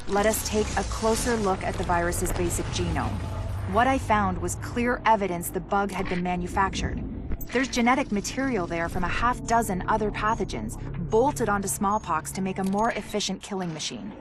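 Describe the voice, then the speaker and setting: A woman's voice: mid-range voice, close to an everyday voice. An actress playing a frank, wry female virologist, delivering a clinical, dryly blunt scripted briefing in a video game. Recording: clear music behind.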